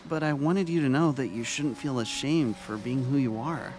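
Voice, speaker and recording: A man's voice: mid-range voice, normal speed, a little mellow, faint music behind, lightly acted. A man playing a kindly, reassuring former vice-principal in a dramatic audio story reading.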